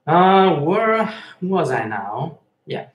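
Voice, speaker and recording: Man, English, hosting a live chat, slow and halting, slightly high voice, echoey room with faint hiss. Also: very animated. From Switzerland. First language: Swiss German and Italian. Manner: halting, many fillers and restarts, reads chat aloud. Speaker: live-stream host reading chat. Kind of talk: casual live-stream chat.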